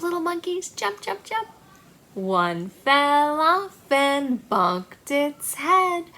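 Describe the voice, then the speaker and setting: A woman with a high, bright voice presents a storytime for small children. She speaks in simple, encouraging phrases with a sing-song lilt. Her delivery is slow and swoops widely in pitch. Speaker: children's librarian, storytime host.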